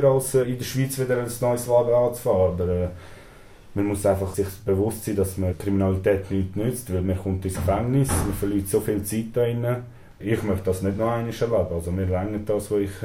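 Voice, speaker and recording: Young Swiss man with a deep, muffled voice. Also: steady tone, brisk, dark tone. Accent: Swiss German. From Switzerland. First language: Serbian. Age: 20s. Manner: hesitant, many "äh" fillers and tag "oder?". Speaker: prison inmate interviewee. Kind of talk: interview answers. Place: small storeroom in a prison. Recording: echoey room with faint ambience.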